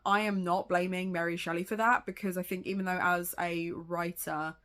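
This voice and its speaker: A woman chatting casually in a reading vlog, her voice gravelly from a cold, rambling and swearing now and then. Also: fairly low pitch, fairly even intonation, normal speed, fairly bright tone. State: has a cold, sounds gravelly. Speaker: booktuber.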